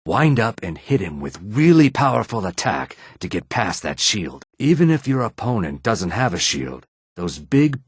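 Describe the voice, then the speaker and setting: Deep, weary lizard-man. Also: breathy edge, no room sound, studio quality, exaggerated voice. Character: weary, wary male lizard-man laborer and fighter. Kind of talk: fantasy video game character dialogue.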